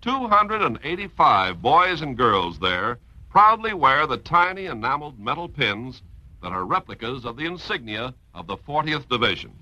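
A man's voice: medium pitch, very animated, normal speed, sharp tone, no echo, highs cut off. A man reading scripted patriotic narration as a 1950s military radio announcer, formal and declamatory.

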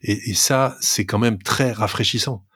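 A man's voice: on the low side, steady tone, a little slow, fairly bright tone, slightly rough, dry recording, studio quality. A man co-hosting a sports podcast, talkative and emphatic as he gives his opinions in casual discussion. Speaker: rugby podcast co-host.

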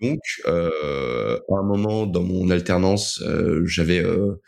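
A young man with a low-pitched voice speaks as a guest in a casual interview. He tells his story in a hesitant way, often repeating words as he looks for the next one. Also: takes it slowly, creaky, a little mellow. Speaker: interview guest, co-founder of a digital media outlet.

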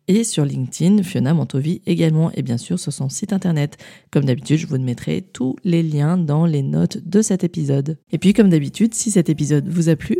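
Gravelly-voiced French podcast hostess, dry close mic. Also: female voice, sits low, dark tone, no echo. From France. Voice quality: frequent fillers and restarts, laughs easily. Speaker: podcast host. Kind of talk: casual interview, then outro to listeners.

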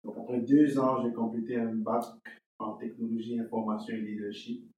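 A man's voice: mid-range voice, flat delivery, normal speed, darkish tone, dull audio. Earnest man in an echoey room. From Cameroon. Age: young adult. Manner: earnest, repeats words while thinking. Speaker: podcast guest, entrepreneur. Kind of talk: conversational interview. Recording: echoey distant room sound.

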